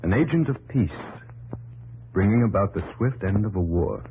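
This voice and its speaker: A man playing a grave atomic scientist in a 1950s radio drama, measured, ominous and oratorical. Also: on the low side, expressive. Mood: grave hope. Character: grave male atomic scientist, weighty and portentous. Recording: muffled 1950s radio sound with faint hum.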